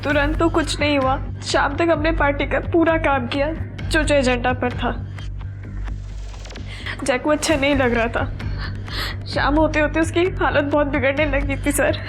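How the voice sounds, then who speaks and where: A woman's voice: high-pitched, fairly even intonation, normal speed, long pauses, close to an everyday voice. An actress playing a distressed young woman in a TV crime serial, earnest and pleading as she explains. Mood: earnest, grave. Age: young adult. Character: distressed young woman reporting her boyfriend's murder. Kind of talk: Hindi TV crime serial acting. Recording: loud dramatic background music. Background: dramatic music.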